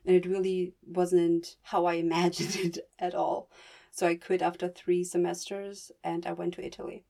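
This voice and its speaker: A woman, telling her story as an interview guest in lively casual talk, laughing often. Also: on the low side, normal speed, gravelly. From Kosovo. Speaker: guest, founder.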